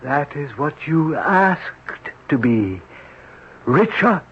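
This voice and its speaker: An actor playing an otherworldly male servant who grants wishes, speaking in a slow, measured, formal way. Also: on the high side, very animated, a little hiss or hum, exaggerated voice. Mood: sinister calm. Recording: dull old radio broadcast.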